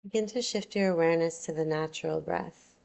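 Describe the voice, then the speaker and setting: A woman teaching yoga nidra, guiding a relaxation meditation in a soothing, unhurried, gentle way. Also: sits low, gravelly.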